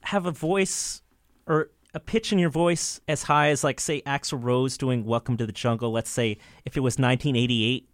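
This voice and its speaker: A man's voice: medium pitch, normal speed, no echo, studio quality. A man interviewing a singer, asking casual questions with loose "like" fillers.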